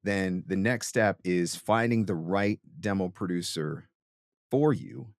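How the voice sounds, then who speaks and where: A man's voice: low voice, takes it slowly, dry recording, clean studio sound. A man hosting a podcast, giving conversational advice emphatically, with rhetorical questions and frequent check-ins. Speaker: podcast host and voice-over coach.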